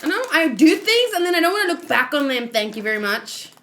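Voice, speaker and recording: A woman hosting a read-along in a casual, expressive and giggly reaction style. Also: sits high, fast. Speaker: host of a manga read-along.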